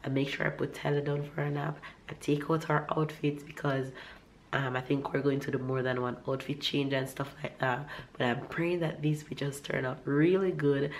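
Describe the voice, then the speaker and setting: A young woman with a Jamaican accent, slightly hoarse from a cold, chatting casually to her vlog camera at home. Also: low voice, flat delivery, normal speed, a little hiss or hum. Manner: rambling, warm, upbeat, with restarts. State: slightly hoarse, recovering from a cough. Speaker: vlogger. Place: living room at home.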